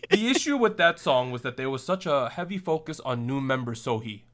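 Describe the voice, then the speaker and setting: A man hosting a casual podcast chat, relaxed and joking as he steers the discussion. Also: medium pitch, a little fast, over a noisy crowd. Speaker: podcast host.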